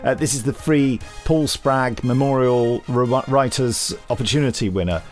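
A man's voice: mid-range voice, normal speed, a little mellow, soft background music. A man co-hosting a podcast in casual, jokey, rambling chat. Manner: jokey, digressive. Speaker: podcast co-host.

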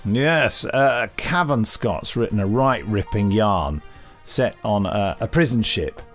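A man's voice: on the low side, animated, slow, a bit bright, faint music behind. A British man chatting casually as a podcast co-host, in a jovial, somewhat hesitant way. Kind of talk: casual news chat.

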